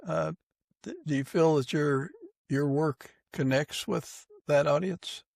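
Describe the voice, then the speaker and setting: A man's voice: fairly high pitch, slow pace, gravelly, a little mellow, dry recording. A man hosting a podcast, asking interview questions in a conversational way.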